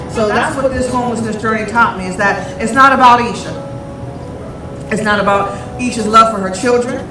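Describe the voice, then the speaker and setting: A woman in her forties telling her own story of hardship as an earnest, preaching testimony at an open mic. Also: fairly high pitch. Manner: earnest, preaching in third person. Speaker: storyslam speaker. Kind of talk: personal testimony. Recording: echoey, far from the mic.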